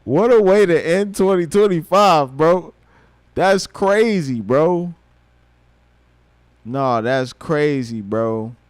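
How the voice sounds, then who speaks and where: A man in his thirties livestreaming, a rapper talking casually and swearing freely, mixing thoughtful musing with loud excitement. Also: fairly high pitch, takes it slowly, long pauses, clean tone, dry recording. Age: 30s. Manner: reflective, then loud and excited with laughter and swearing.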